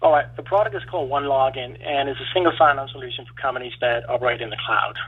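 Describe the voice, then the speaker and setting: Man from Denmark, founder calling in to pitch; quick, husky, heard through a tinny phone line. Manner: steady, rapid, read-through delivery. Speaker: startup founder pitching as a caller. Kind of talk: rehearsed sales pitch. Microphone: phone call.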